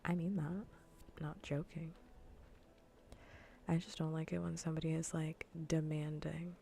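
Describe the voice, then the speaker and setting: A woman livestreamer chatting casually in a soft, breathy, often near-whispered ASMR style, close to the microphone, languid, teasing and blunt. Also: low voice, level tone, normal speed. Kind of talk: soft-spoken ASMR, casual livestream chat while gaming. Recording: faint background noise.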